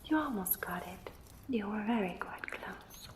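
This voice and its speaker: A woman's voice: mid-range voice, lively intonation, a little slow, background noise, lightly acted. A woman playing a playful, mysterious enchanted portrait in an ASMR roleplay, teasing with riddles and repeating words. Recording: echoey room sound.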